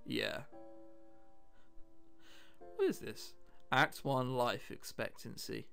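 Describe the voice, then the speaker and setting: A man's voice: medium pitch, takes it slowly, gravelly, sharp tone, clear, resonant tone, faint music behind. A man giving chatty, casual playthrough commentary and reacting aloud to the story. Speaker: gaming video host.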